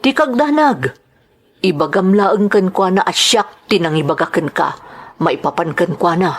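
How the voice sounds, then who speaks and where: A woman's voice: lowish voice, lively intonation, normal speed. A woman with a Filipino accent playing a helpful, concerned female friend in an Ilocano radio drama.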